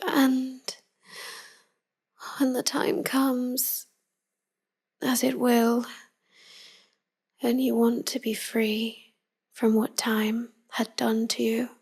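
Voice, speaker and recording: A woman performs as a wistful, devoted vampire wife in an intimate ASMR roleplay. Her voice is high for a woman yet soft and half-whispered, with a creaky edge. She murmurs very slowly and gently, full of tender melancholy. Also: heavily acted. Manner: slow, gentle, murmured endearments.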